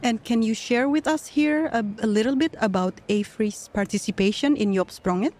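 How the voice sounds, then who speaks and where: A woman's voice: on the high side, moderate pace, a little mellow. A woman hosting a live podcast interview at an event, speaking in an upbeat, promotional way. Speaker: podcast host.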